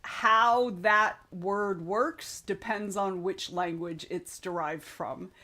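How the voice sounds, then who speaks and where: A woman's voice: medium pitch, slow, pushed and bright. A young adult woman hosting a writing stream, chatting casually and reading out viewer comments, rambling and frank, with some swearing.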